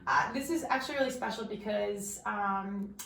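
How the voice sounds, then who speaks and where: A woman hosting a podcast in casual chat, upbeat, chatty and quick to laugh. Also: medium pitch, gravelly, a bit bright, slight background noise. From Colorado. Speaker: podcast host. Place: room, face to face. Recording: roomy echo.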